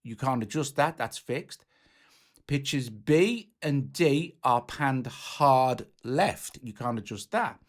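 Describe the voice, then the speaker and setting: A man's voice: mid-range voice, animated, slow. A man presenting a music app tutorial in a casual, informal, hands-on explaining way.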